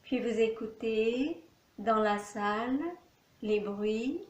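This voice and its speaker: Very slow-speaking woman guiding relaxation. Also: on the high side. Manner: calm, soothing, unhurried, with long pauses. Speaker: yoga nidra teacher. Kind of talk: guided relaxation, calm spoken instructions. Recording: echoey, reverberant room.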